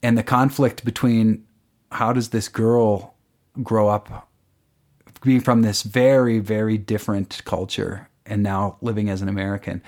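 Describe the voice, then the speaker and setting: A man's voice: mid-range voice, a little mellow. A man, a songwriter guest, talking casually in an interview and explaining at length with lots of fillers. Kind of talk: casual radio interview chat.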